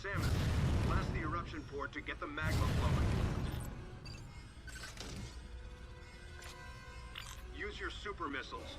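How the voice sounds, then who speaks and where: A man's voice: a little high, fast talker, natural voice. A man playing an urgent soldier in a sci-fi action video game. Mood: commanding. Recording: hall reverb, under loud music and battle effects.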